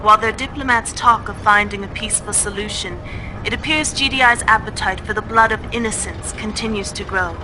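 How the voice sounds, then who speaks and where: A woman's voice: mid-range voice, brisk, noisy background, lightly acted. A woman playing a composed propaganda news anchor, reading a formal, solemn scripted bulletin.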